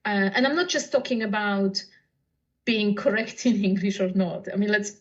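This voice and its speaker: Woman, thoughtful, searching for words, mid-pitched voice, dull lo-fi audio. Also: moderate pace. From Poland. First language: Polish. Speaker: writer and translator. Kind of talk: reflective conversation about language.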